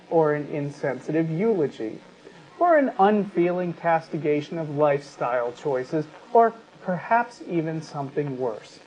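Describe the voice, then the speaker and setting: A man co-hosting a talk show, speaking in a deliberate, emphatic, articulate way, whether reading aloud or giving his opinion. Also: on the high side, dull audio. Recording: steady background noise.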